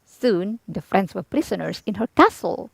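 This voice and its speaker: A woman reading a children's storybook aloud as expressive scripted narration. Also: mid-range voice, moderate pace, vocal fry, no room sound.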